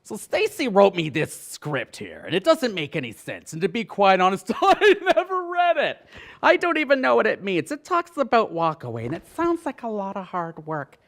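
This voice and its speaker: A man's voice: high-pitched, wildly swooping pitch, normal speed, gravelly, a bit bright, exaggerated voice. A man playing a pompous, scatterbrained, rude and giggly celebrity interviewer in a parody show. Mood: blunt, rambling. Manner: pompous, erratic, blunt. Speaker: spoof interview show host.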